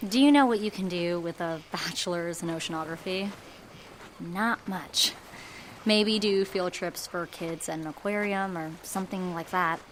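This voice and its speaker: A woman's voice: on the low side, moderate pace, a little hiss or hum, lightly acted, low-quality recording. A woman playing wry, guarded Veronica. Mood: wistful. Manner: dry, wry, subdued. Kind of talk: audio drama acting.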